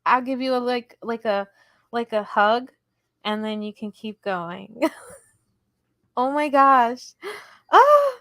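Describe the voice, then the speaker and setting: A woman's voice: on the high side, sing-song, takes it slowly, pauses often, a bit bright, no echo, clean studio sound. A woman hosting a casual crochet livestream, chatty and giggly as she talks to her viewers. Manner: chatty, giggly, talks to chat while working. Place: indoors at home table.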